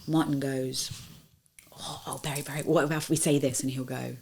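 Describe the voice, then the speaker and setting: Woman with a deep gravelly voice, enthusiastic about her fellow performers. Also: fairly even intonation, a little fast, background noise. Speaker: panto actress. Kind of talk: fond reminiscence.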